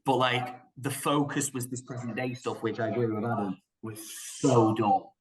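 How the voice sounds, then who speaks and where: A man in casual film discussion on a podcast, speaking in an excitable, emphatic way. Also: mid-range voice, moderate pace, a little hiss or hum. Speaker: podcast panelist. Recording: echoey room sound.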